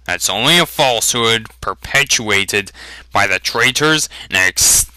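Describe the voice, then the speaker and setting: Man acting a pompous, forceful fat loyalist. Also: on the low side, expressive, a little slow, no echo, heavily acted, poor audio. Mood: persuasive. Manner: smooth-talking, pleading, emphatic.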